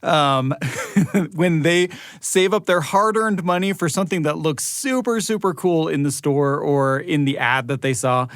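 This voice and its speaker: A man's voice: on the high side, lively intonation, a little slow, fairly bright tone, smooth, no room sound, clean studio sound. A middle-aged American man speaking as a podcast co-host in a conversational presenting style. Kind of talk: conversational podcast hosting and credit reading. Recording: music playing behind the voice. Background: music.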